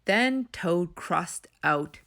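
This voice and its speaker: A woman reading a children's story aloud as narrator, in an expressive storytime style. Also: fairly low pitch, drawn-out words, vocal fry. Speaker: storytime reader.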